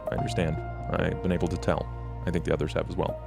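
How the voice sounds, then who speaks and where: A man playing a formal, careful vampire mentor who speaks in halting, measured phrases with a gravelly voice. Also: low-pitched, flat delivery, over loud music. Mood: earnest, reassuring.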